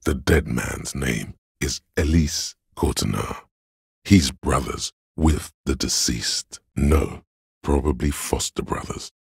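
An extremely husky, gravel-edged male voice of pure logic, recorded close and dry. He narrates a video game as a cold, analytical inner thought. His delivery is deliberate and didactic, addressing the listener directly in short, clipped deductions. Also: rumbling low, dry recording, full character voice. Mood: deductive, dry. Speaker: voice actor. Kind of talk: dramatic second-person game narration.

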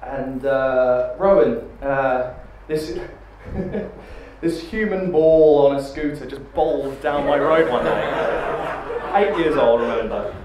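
A British man in his thirties, giving a quick, joking best man speech into a handheld mic. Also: medium pitch, darkish tone. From Britain. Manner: quick, joking, chuckling between lines. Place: large wood-panelled banquet hall, indoors. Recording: very echoey hall with background noise.

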